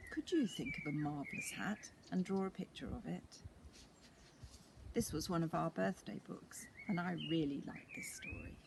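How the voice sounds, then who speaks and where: A woman reads a children's story with very lively, swooping intonation. Her voice has a creaky edge, and there is some noise behind her on the recording. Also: fairly low pitch, moderate pace. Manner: animated, clearly paced narration. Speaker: storyteller reading a picture book to children. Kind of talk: expressive children's story reading.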